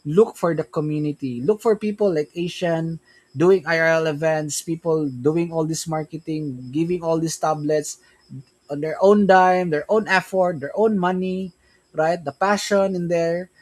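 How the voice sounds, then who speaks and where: A man's voice: on the high side, normal speed, poor audio. A young man with a Filipino accent, a Tagalog speaker, hosting a casual crypto livestream, rambling with many restarts and tag questions. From Philippines. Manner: rambling, repeats "right?" and "yeah, man", frequent restarts. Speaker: crypto stream host.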